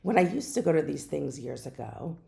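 A middle-aged woman hosting her own podcast, speaking in an unhurried, musing way with some hesitations. She has a naturally deep voice that is hoarse from a lingering cough. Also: big pitch swings, dark tone. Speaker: podcast host. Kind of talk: reflective solo podcast talk.

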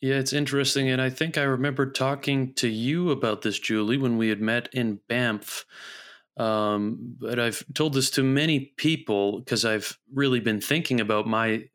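A man from Canada hosting a casual interview in a thoughtful, measured way. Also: medium pitch, fairly even intonation, a bit bright. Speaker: host.

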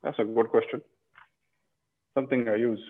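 A man's voice: medium pitch, steady tone, normal speed. A man from Pakistan, a guest in a casual interview, speaking reflectively with many restarts and "you know"s. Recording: tinny phone-like line.

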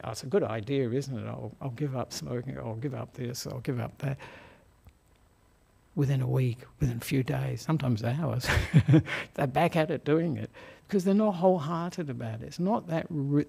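A soft-spoken Australian man giving a gentle Dhamma talk as a Buddhist monk, chuckling often and pausing on fillers. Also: medium pitch, creaky, breathy edge. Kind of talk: relaxed Dhamma talk to a lay audience. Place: meditation hall of a Buddhist society.

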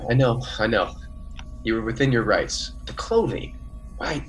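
A man's voice: mid-range voice, lively intonation, measured pace, slight background noise, lightly acted, poor audio. A man playing an earnest, defensive biologist on a colony planet in a classic radio play, emphatic and easily flustered. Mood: shocked.

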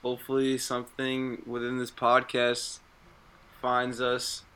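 A man's voice: medium pitch, monotone, unhurried, bright, forceful tone, clear, resonant tone, poor audio. A man around twenty, a college student, chatting casually on a podcast in an easygoing, laid-back way. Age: 20s. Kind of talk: casual group chat.

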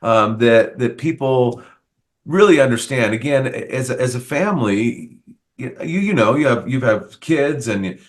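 A man's voice: mid-range voice, expressive, a little slow. A middle-aged man, a pastor, interviewing a fellow pastor in an earnest, encouraging way, often restarting his sentences. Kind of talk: conversational interview.

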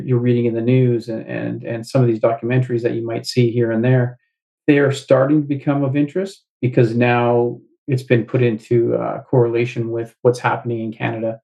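Gravelly man, thoughtful interview guest. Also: mid-range voice, little pitch movement, warm tone. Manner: measured, thoughtful, with fillers. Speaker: guest author. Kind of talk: casual interview talk.

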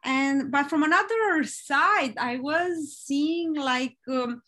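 A woman from Romania, a life coach speaking as an interview guest, hesitant and pausing often to search for words. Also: high voice, sharp tone. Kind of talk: casual interview.

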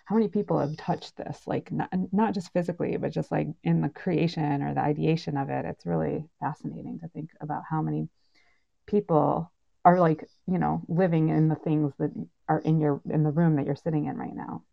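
A woman's voice: steady tone, fairly quick, creaky. A woman casually moderating a podcast panel over an online call, with informal, hesitant phrasing. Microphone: online call microphone. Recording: muffled low-fidelity online call audio.

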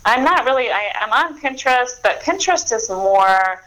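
A woman's voice: a little high, animated, normal speed, fairly bright tone, poor audio. A woman in her forties, an author guest, talking in a rambling, easygoing way with many restarts. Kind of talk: casual interview chat.

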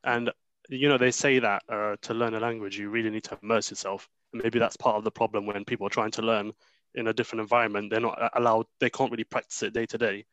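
A young man with a lowish voice leads a casual discussion. The sound is dry and close, and he speaks in a measured, questioning way. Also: level tone, a bit bright, no echo. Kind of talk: casual chat.

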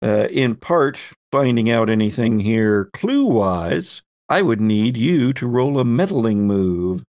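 Halting male game master, dry sound. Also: medium pitch, lively intonation, darkish tone, no room sound. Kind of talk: improvised tabletop game narration.

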